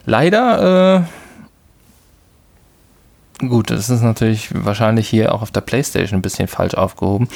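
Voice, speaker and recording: A man co-hosting a podcast, relaxed and explaining things with light laughter. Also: fairly low pitch, normal speed, creaky, long pauses. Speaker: podcast co-host. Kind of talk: casual chat.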